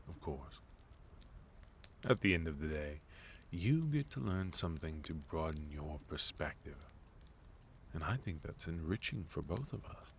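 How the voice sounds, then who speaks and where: A sophisticated fantasy villain, played by a man, speaks in a very deep, soft voice. He takes his time, courteous and gently teasing, in an intimate ASMR roleplay style. Faint noise sits behind the voice. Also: full character voice. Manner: soft-spoken, unhurried, gently teasing, with breathy murmurs. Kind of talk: ASMR roleplay, intimate scripted monologue to listener.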